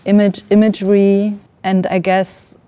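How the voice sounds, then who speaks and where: A woman's voice: mid-range voice, monotone, unhurried, warm tone, clear, resonant tone. A woman whose first language is Farsi, a filmmaker talking casually and reflectively on a panel, with tentative, informal phrasing.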